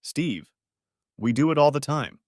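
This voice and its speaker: A man's voice: a little high, animated, measured pace, no room sound, studio quality, pitched above the performer's own voice. A man voicing a dismissive, condescending middle-aged wife in a whiny voice with curt, impatient retorts.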